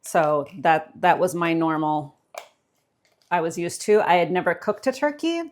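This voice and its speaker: Low-pitched American woman in casual cooking chat. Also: very animated, unhurried, slight background noise. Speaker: co-host of a cooking show.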